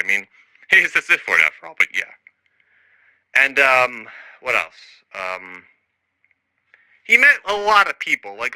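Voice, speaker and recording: A man's voice: mid-range voice, bright, forceful tone, no room sound, low-quality recording. A man recording an improvised audio log as a storyteller, rambling and hesitant, often trailing off and losing his thread. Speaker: storyteller and narrator.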